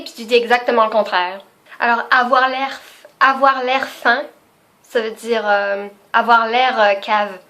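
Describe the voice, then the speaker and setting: A young woman chatting spontaneously in a casual vlog, laughing often and filling pauses. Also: high voice, fairly bright tone. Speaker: vlogger. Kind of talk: casual unscripted video chat.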